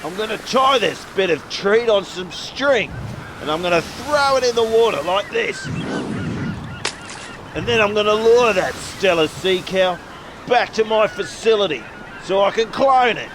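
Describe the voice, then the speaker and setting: A woman's voice: mid-range voice, lively intonation, a little slow, background noise, natural voice. A woman playing a gloating, greedy villainous general manager in a melodramatic radio play, boastful and scheming.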